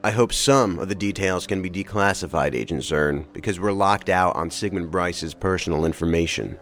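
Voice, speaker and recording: Deep-voiced, businesslike federal investigator. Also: male voice, a bit bright. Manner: formal, measured, probing. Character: businesslike male federal investigator, cool and probing. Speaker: investigator in a task force. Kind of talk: audio drama acting.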